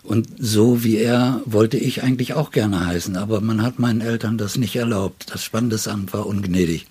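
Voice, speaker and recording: A man in his seventies from northern Germany, an interview guest telling stories in a reflective, deliberate, wry way. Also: lowish voice, darkish tone, breathy edge. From Friesland, northern Germany. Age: 70s. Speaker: poet and literary editor, interview guest. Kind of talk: reflective conversational storytelling. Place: indoors, in his home study.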